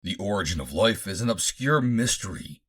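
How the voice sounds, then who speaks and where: A proud, calculating Martian scientist, voiced by a male audiobook reader, lecturing grandly on his creations. The voice is low and husky, with formal phrasing and animated swings in pitch. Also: moderate pace, exaggerated voice.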